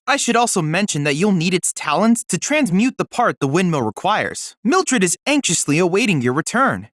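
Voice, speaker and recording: An earnest teenage android boy serves as a research assistant in a video game. His delivery is lively, with wide swings in pitch, yet polite, formal and neatly articulated. The sound is dry, with no room around it. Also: dry recording. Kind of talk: scripted video game character dialogue.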